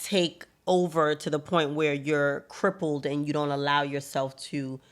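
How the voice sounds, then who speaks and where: Low deep-voiced woman, co-host from haiti, open and self-critical chat. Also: little pitch movement, unhurried. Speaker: podcast co-host. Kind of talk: casual chat.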